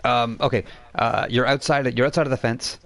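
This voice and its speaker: A man improvising narration as game master of a tabletop role-playing game, explaining things in a halting, informal way. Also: mid-range voice, fairly even intonation, a bit bright.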